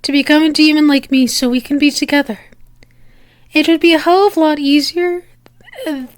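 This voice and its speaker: A teasing, lovestruck demoness, acted by a woman in an ASMR roleplay, with a high-pitched voice. She talks slowly and haltingly to her beloved, in a very dry, close recording. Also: dry recording. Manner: hesitant, halting, teasing endearments. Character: teasing, lovestruck female demon confessing to angel lover. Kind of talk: intimate ASMR roleplay acting.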